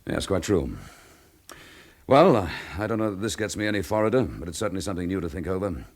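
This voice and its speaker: An actor playing a dogged, frustrated police chief inspector in a radio drama, with a brisk, probing, forceful manner. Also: male voice, sits low, lively intonation.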